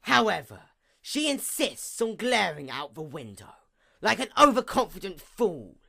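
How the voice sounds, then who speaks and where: A woman voicing a proud, wounded pony goddess of night in a theatrical villain monologue, menacing and grandiose with a gravelly creak. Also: medium pitch, big pitch swings, a bit bright, exaggerated voice. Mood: cold disdain. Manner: theatrical, menacing, grandiose, with slow drawn-out emphasis. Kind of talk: dramatic villain monologue, fan fiction reading.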